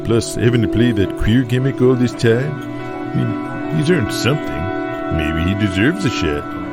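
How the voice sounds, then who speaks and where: A man playing a chummy, excitable male veteran wrestler who blurts out questions and calls people brother. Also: mid-range voice, unhurried, creaky. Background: music underneath.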